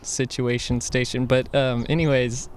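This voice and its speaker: A man chats as a podcast co-host in a mid-pitched voice. His delivery is casual and conversational, with a playful, musing manner. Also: fairly even intonation, measured pace, slight background noise.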